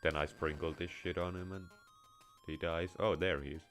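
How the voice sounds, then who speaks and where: A man with an extremely deep voice and a Finnish accent blended with American and a hint of Russian talks over a game. He rambles casually and wryly, swearing now and then. Faint music runs in the background. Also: fairly even intonation, normal speed, fairly bright tone. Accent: Finnish, with American and slight Russian touches. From Finland. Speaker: let's play streamer. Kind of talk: casual gameplay commentary.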